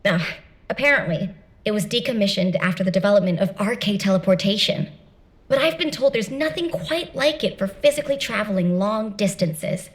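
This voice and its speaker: A chatty young soldier played by a woman briefs a comrade in a video game. She is easygoing and musing, with a mid-pitched voice and a conversational delivery. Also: animated, fairly quick, natural voice.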